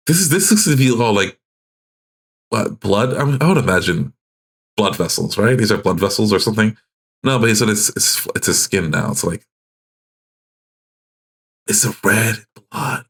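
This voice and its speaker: A man doing casual live reaction commentary as a streamer, informal and halting as he thinks aloud. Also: medium pitch, a bit bright, breathy edge. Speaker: streamer reacting to horror videos.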